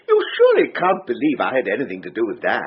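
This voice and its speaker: An actor playing a prickly, pedantic, indignant amateur historian in a radio drama. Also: male voice, medium pitch. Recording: muffled radio drama, faint noise.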